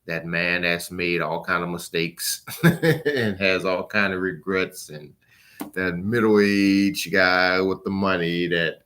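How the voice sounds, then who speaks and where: A man talking casually and informally as a book reviewer, in a halting, rambling way that trails off at the ends of phrases. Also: sits low, bright, forceful tone, a little hiss or hum.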